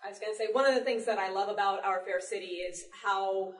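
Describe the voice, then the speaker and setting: An articulate woman with a mid-pitched voice speaks in measured, elaborate sentences on a panel. The room is echoey and the mic sits some way off. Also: steady tone, distant mic. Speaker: audio drama panelist. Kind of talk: panel discussion. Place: public library panel room.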